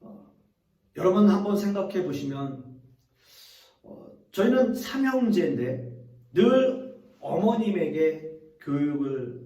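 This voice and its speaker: A man's voice: high voice, big pitch swings, takes it slowly, long pauses, mellow, reverberant, recorded from a distance, low-quality recording. A middle-aged male pastor preaching a sermon earnestly, speaking directly to the congregation and repeating key phrases.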